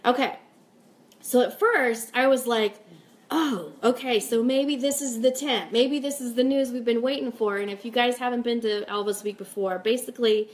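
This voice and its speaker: Chatty lady hosting a fan live stream, kinda high voice, upbeat and super informal. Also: steady tone, normal speed. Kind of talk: casual live stream chat, reading viewer comments and announcements.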